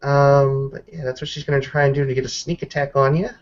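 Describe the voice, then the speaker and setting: Man, mid-to-low pitch, conversational and halting tabletop roleplay narrator, slightly muffled lo-fi recording. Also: steady tone. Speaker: player narrating a character's combat moves. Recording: dull lo-fi voice recording.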